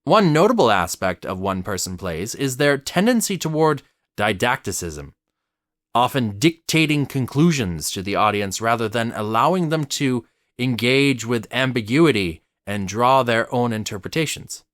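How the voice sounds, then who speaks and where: A man narrates a formal, scripted essay in a close, dry recording. His pitch moves freely up and down as he goes. The phrasing is articulate and measured, in the manner of a theatre commentator. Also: expressive, a bit bright, no room sound.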